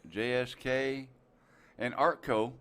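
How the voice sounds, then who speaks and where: A man's voice: mid-range voice, steady tone, slow pace, a bit bright, smooth. A man hosting a live show, chatting casually and joking as he reads out viewer names. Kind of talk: casual live-stream chat.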